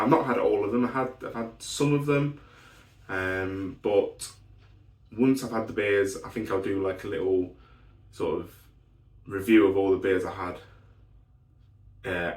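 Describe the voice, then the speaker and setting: A man's voice: fairly low pitch, roomy sound, far from the mic. A man reviewing beers in a casual, rambling way, his voice still recovering after an illness. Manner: rambling, lots of ums and restarts. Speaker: beer reviewer. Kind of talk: casual solo beer review.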